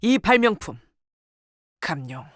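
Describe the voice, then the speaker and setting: A woman's voice: medium pitch, sing-song, slow pace, dry recording, clean studio sound. A woman voicing a proud, stern female military tactician in a game, with clipped, formal, commanding delivery. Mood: grave, measured. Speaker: game character voice. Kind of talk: dramatic scripted game dialogue. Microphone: close, dry studio microphone.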